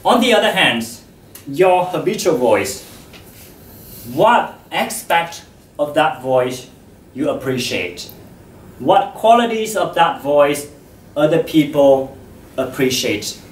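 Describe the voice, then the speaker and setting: A man giving a slow, deliberate public talk to an audience, pausing often, his first language Vietnamese. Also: fairly high pitch, lively intonation, slight background noise. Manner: slow and deliberate, with frequent pauses. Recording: roomy hall, voice from far off.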